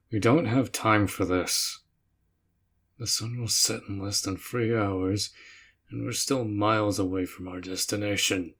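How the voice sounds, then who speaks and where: Orc general, male, played by a man: lowish, unhurried delivery, gruff and protective, earnest and tender beneath, for roleplay audio drama. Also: fairly even intonation, a bit bright, exaggerated voice. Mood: stern, exasperated. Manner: slow, measured, earnest, with sighs.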